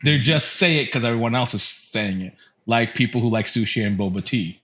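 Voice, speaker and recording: A man's voice: medium pitch, brisk, fairly bright tone. A man in his thirties with a mid-range voice talks as a podcast co-host. He is casual and opinionated, bantering in an informal, conversational way as if among friends. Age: 30s.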